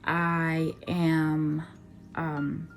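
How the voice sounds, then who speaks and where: A woman in her thirties from Nigeria giving a personal introduction to her viewers, talking slowly and deliberately with many pauses and ums. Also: sits low, level tone, gravelly, faint music behind. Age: 30s. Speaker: vlogger. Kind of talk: casual personal introduction to camera.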